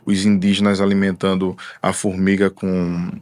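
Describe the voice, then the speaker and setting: Man, low-pitched, thoughtful, unhurried, casual conversation, dry close mic. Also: monotone, darkish tone, no room sound. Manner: unhurried, thoughtful, trailing fillers. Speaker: musician guest. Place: studio.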